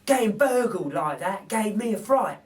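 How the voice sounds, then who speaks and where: A man's voice: high voice, expressive, moderate pace, pitched above the performer's own voice. A man voicing a good-natured, plain-spoken working-class male burglar with colloquial speech in a children's storybook. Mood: remorseful, resolute. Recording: distant microphone.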